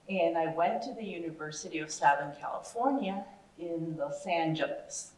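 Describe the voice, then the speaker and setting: A woman with a fairly low voice for a woman, telling her story in a friendly, hesitant way. Her voice sounds echoey, picked up from some distance. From Ecuador. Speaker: chemistry professor. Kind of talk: presentation to young people. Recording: echoey room, distant mic.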